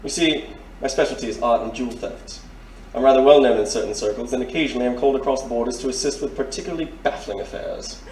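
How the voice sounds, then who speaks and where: A man's voice: mid-range voice, fast talker, hardly any pauses, a little mellow, natural voice. A man playing a courteous, shrewd detective major in an audio drama, speaking formally and with polite insinuation. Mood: measured, grave. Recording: roomy, distant mic, faint noise.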